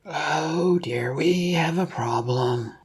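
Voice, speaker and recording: Husky, wary space detective, as a narrator voices them. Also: medium pitch, slow pace. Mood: grim. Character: wary, reasoning detective questioning fellow suspects aboard space yacht.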